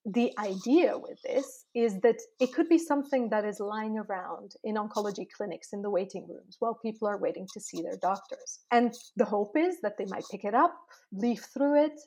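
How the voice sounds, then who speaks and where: A woman researcher calmly explaining a project as an interview guest. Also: mid-range voice, normal speed, low-quality recording.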